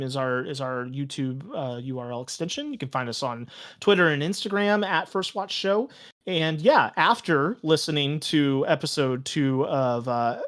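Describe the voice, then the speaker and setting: A man speaking casually as a podcast guest, earnest and reflective, with halting phrasing. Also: fairly high pitch, fairly bright tone. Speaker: podcast guest, tabletop game master and show creator. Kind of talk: casual conversational interview.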